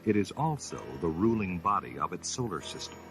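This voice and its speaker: An actor as the solemn, portentous narrator of a sci-fi audio drama, reading dramatic scripted narration. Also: male voice, sits low, sing-song, brisk, a bit bright, soft background music, heavily acted. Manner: grand, portentous. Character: solemn epic narrator of a space saga.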